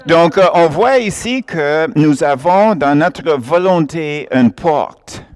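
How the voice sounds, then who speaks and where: A man preaching a sermon, emphatic and often laughing as he acts out little exchanges. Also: fairly high pitch.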